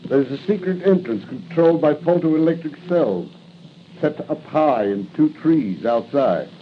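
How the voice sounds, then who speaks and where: Masked killer for 1940s radio serial: menacing, gloating, theatrical, on a dull, slightly noisy old recording. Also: male voice, fairly high pitch, lively intonation, a little slow. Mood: gloating menace. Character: sinister, gloating masked killer, agent of a crime ring.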